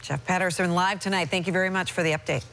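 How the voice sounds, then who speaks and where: A woman's voice: fairly low pitch, fairly even intonation, fast talker, fairly bright tone, slight background noise. A woman with an American accent presenting broadcast news as an anchor.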